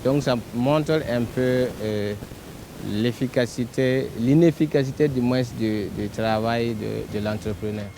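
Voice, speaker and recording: A man with a Burkinabe accent explaining on site outdoors, halting and correcting himself. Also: mellow. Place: outdoors along a rural track. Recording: outdoor field recording with rumble, vehicle noise.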